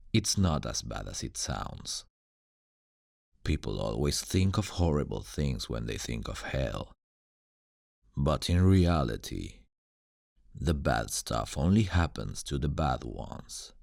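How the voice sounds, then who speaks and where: An ancient demon guardian for an ASMR roleplay. His voice is extremely deep and crackles with gravel. He is suave, sardonic and condescending, and his intonation rises and dips theatrically as he teases. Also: sing-song, a little mellow, no room sound, clean studio sound, heavily acted. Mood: teasing, smug. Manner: slow, deliberate, dramatic, condescending toward humans.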